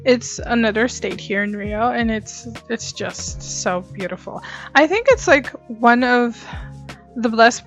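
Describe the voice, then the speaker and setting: High-voiced, unhurried young woman storyteller. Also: faint music behind. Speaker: host of an art story-time channel. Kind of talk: casual chatty storytelling.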